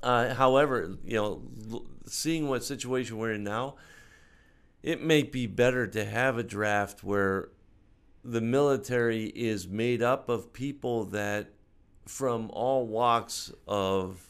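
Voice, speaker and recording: A man's voice: mid-range voice, slow, clear, resonant tone. An older man with an American accent, talking casually and hesitantly as he thinks aloud about his views. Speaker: interview guest, film maker. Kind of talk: casual conversation about films and politics.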